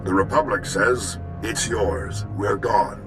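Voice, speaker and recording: A man's voice: fairly low pitch, fairly even intonation, slow pace. An actor playing a defiant veteran army commander who addresses his troops in plain, rousing, soldierly speech in a video game. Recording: loud music under the voice.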